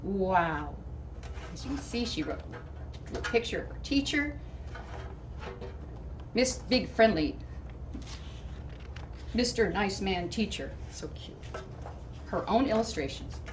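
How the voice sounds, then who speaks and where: A woman reading a storybook to children in expressive narration, with deliberate, emphatic phrasing. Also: medium pitch. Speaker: storybook reader. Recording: distant mic with clear background noise.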